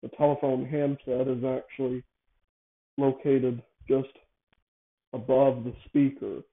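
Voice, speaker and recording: A man hosting a casual, improvised show, talking in a halting way, repeating and correcting himself as he explains things. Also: medium pitch, little pitch movement. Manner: halting, self-correcting, repetitive phrasing. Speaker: show host. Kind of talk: casual improvised monologue, explaining a device idea. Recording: thin, phone-like narrowband audio.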